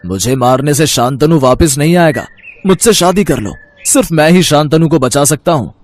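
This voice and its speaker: A man's voice: a little high, expressive, normal speed, lightly acted. A man playing a wicked, lovesick, scheming snake charmer in a cartoon, with a melodramatic manner. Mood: gloating. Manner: melodramatic, pleading and gloating.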